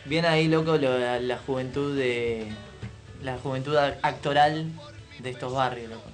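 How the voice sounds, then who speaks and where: A man of middling pitch chats casually with a Buenos Aires accent. His speech is informal and slangy, and music plays clearly behind him. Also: steady tone, normal speed. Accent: Argentine, Buenos Aires. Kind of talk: casual radio chat.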